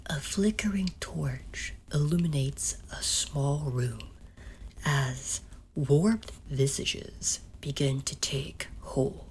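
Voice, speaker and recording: A woman playing an ominous narrator and incanter in a whispered ASMR horror piece, with a deliberate, chanting delivery. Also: sits low, a little hiss or hum.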